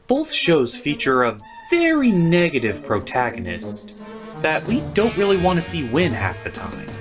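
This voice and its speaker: A man's voice: a little high, huge pitch swings, a little slow. A man giving a scripted, opinionated comedic review as a cartoon critic and host. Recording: music behind the voice.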